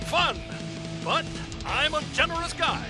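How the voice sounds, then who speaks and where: A man's voice: extremely high pitch, exaggerated voice. A male actor playing a brash, goofy, self-promoting arena commentator in a video game, with bombastic, cocky showman's patter. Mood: flustered bravado. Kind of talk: showy sports broadcast patter.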